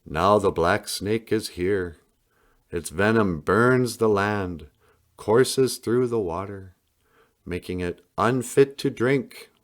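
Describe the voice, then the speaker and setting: A man reading a picture book aloud in a solemn, dramatic storytelling style with deliberate pauses. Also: fairly low pitch. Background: music.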